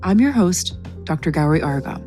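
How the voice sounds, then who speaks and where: Calm woman podcast host. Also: on the low side, brisk, faint music behind. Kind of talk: scripted intro and interview questions.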